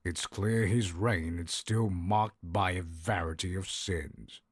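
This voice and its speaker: A man playing a grave, fair-minded judge in a visual novel, speaking in a formal, measured way. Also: low-pitched, husky, no echo, clean studio sound, heavily acted. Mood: stern, judicious.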